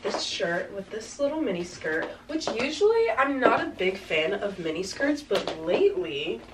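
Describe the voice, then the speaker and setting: Casting note: twenties woman, rambling fashion-vlog chatter, slight congestion rasp, recorded by a camera mic a few steps back with faint hiss. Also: medium pitch, sing-song, moderate pace, distant mic. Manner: chatty, rambling, upbeat, frequent "like" fillers. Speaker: fashion vlogger. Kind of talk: casual try-on chat. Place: small bedroom with backdrop, indoors. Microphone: camera mic from a few meters away.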